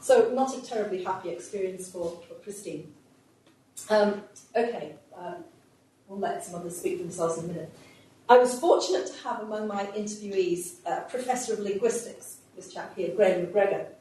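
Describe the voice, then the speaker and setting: A woman's voice: medium pitch, moderate pace, warm tone, slight background noise. A woman professor giving a history lecture, speaking with fillers and restarts as she works through interview extracts. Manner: hesitant, many fillers and restarts. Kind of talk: public lecture with interview clips. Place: lecture hall. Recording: echoey hall, voice from a distance.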